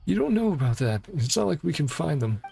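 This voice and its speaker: A wary city guard from a fantasy game, played by a man reading aloud, grumbles and reasons defensively. The voice sits higher than his own and has a creaky edge, with music underneath. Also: sing-song, brisk, dark tone, exaggerated voice.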